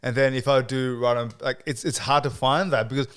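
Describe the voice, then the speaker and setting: Australian male podcast host, clear smooth voice, chatty and affirming. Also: medium pitch, steady tone, a little fast, fairly bright tone. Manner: chatty, affirming with frequent mm-hmms. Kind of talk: casual interview conversation.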